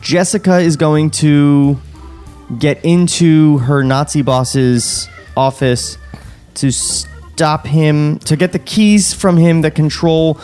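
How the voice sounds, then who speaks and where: Halting, wry man podcasting over music. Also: fairly high pitch, darkish tone, clean tone. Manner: foul-mouthed, sarcastic. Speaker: podcast host recapping a script. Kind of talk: casual chat, joking recap. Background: music bed.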